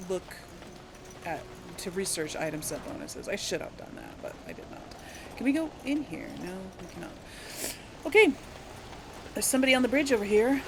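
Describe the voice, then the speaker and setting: A woman's voice: mid-range voice, a little hiss or hum. A woman giving casual let's play commentary and role-play, musing and thinking aloud as she goes. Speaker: gamer, let's play host.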